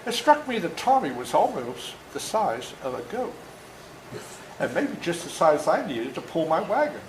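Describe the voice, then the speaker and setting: Animated older man reading aloud, echoey room. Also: fairly high pitch, normal speed. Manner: animated, dramatic emphasis, folksy explanations. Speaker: author reading his own book to schoolchildren. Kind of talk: storytelling read-aloud with asides to children. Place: indoor room at a school. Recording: echoey room with faint background noise.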